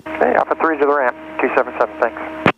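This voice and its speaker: A man's voice: medium pitch, wildly swooping pitch, fast, gravelly. A man, a pilot, giving casual replies to the tower over aviation radio. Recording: muffled aviation radio, loud noise.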